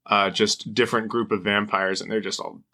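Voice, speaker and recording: A man's voice: fairly low pitch, steady tone, a little fast, bright, forceful tone. A man co-hosting a podcast, chatting casually in a slangy, jokey, sweary way. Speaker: podcast co-host.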